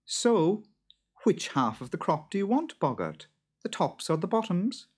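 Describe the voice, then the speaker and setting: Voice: medium pitch, big pitch swings, unhurried, darkish tone, breathy edge, voice pushed up. A storyteller voicing a farmer's wife in a children's tale, shrewd and sensible, persuasive and a little bossy. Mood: brisk, persuasive.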